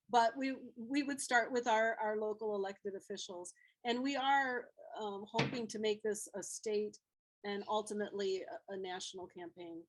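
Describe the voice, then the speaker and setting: A woman activist speaks on a video call at a medium pitch. She explains things informally and hesitantly, stopping and restarting with plenty of fillers. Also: steady tone, normal speed, low-quality recording. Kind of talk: informal talk answering questions.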